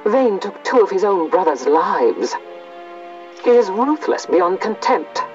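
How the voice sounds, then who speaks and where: A woman's voice: medium pitch, sing-song, takes it slowly, darkish tone. A woman playing a severe, outspoken imperial judge in a fantasy video game, grave, challenging and formal. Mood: accusing, grave. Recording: distant, muffled game audio under music.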